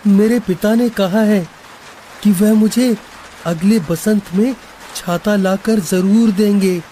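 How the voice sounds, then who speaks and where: A man's voice: high-pitched, takes it slowly, mellow, slight background noise. A man voicing an anxious, pleading young schoolboy in a storybook horror tale, with an earnest delivery. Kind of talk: animated story dubbing in Hindi.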